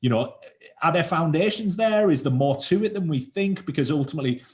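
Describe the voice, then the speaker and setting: A man's voice: fairly high pitch, expressive, brisk, a little mellow. A man hosting a podcast, asking long, rambling questions with frequent restarts. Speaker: podcast host. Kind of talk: interview questions, casual chat.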